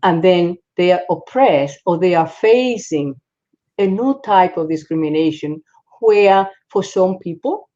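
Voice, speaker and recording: A woman from the Republic, speaking as a psychologist guest in a panel discussion, halting, with many fillers and restarts. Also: on the low side, lively intonation, warm tone. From Dominican Republic. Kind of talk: panel discussion on a video call. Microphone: video call. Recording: dull lo-fi call audio.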